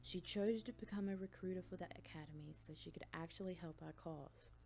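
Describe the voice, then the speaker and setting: Actress as stern female academy professor: low, gravelly, measured and critical, audiobook drama, faint music beneath. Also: dark tone. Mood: approving.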